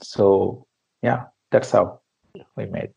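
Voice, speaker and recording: Slow gravelly man from Bangladesh. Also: fairly low pitch, steady tone, darkish tone, highs cut off. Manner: hesitant, many fillers and restarts, non-native phrasing. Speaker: interview guest, founder of an education project. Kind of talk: conversational interview answers.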